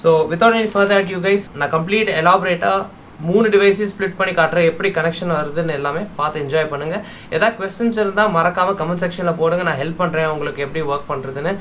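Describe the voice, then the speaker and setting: A man's voice: sits high, rapid-fire, fairly bright tone, slight background noise. A man with a South Indian Tamil accent giving a casual, explanatory product tutorial, often repeating himself as he goes. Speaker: product demonstrator.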